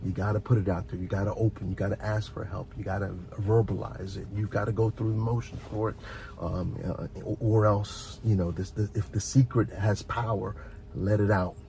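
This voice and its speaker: Low, creaky-voiced man, mellow and reflective. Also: level tone. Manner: halting, repeats words, earnest and reflective. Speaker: host of a relaxation and advice channel. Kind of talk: informal heartfelt advice monologue.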